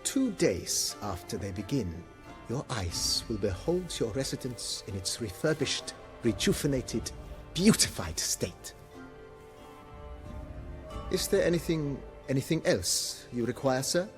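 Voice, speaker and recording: A man's voice: medium pitch, sing-song, a little slow, soft background music. An actor playing a courteous, deferential male estate majordomo in a video game, with formal, ornate, servile phrasing. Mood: eager, obliging. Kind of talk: video game cutscene acting.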